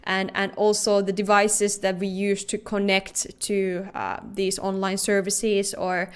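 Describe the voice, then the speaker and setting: A woman's voice: mid-range voice, level tone, measured pace, smooth. A woman from Finland, a native Finnish speaker, giving a prepared conference talk in an earnest way, with frequent fillers and restarts.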